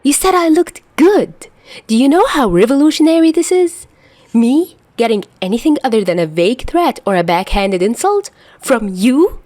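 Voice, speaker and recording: A woman's voice: sits high, animated, normal speed, a little mellow, dry recording, pitched above the performer's own voice. A woman playing a teasing, nonchalant young man in a story, with a playfully dramatic manner. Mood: teasing, delighted.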